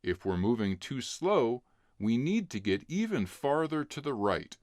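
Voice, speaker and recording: Storybook older cousin read by a man: friendly boy, explanatory, lively pitch, measured pace. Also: dry recording, clean studio sound. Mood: helpful.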